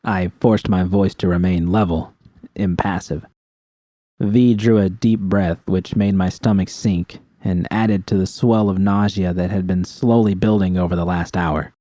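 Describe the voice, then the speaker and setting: A man's voice: low-pitched, fairly even intonation, unhurried, mellow, dry recording. A man reading scripted first-person fiction as an audiobook narrator.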